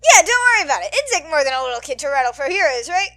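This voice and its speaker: A woman voicing a loud, excitable male sports announcer, playful and booming in manner. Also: very fast, exaggerated voice. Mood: cheerful.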